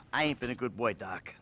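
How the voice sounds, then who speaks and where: A middle-aged American criminal in a game cutscene. He is wry, halting and foul-mouthed, swinging widely in pitch. There is faint noise behind him. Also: pushed and bright. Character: troubled middle-aged male criminal, evasive, foul-mouthed, self-loathing. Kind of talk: video game cutscene acting.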